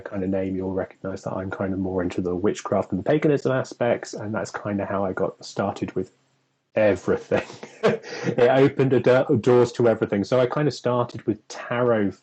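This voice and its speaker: A man's voice: lowish voice, a little mellow, breathy edge, low-quality recording. A young man from England talking casually on a live stream, chatty and rambling, with lots of fillers and frequent laughter. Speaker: co-host.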